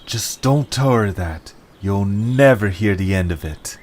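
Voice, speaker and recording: A man's voice: fairly low pitch, lively intonation, a little slow, a little mellow, slight background noise. A man playing a sarcastic, weary young male adventurer in an audio drama, with a dry manner. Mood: wry.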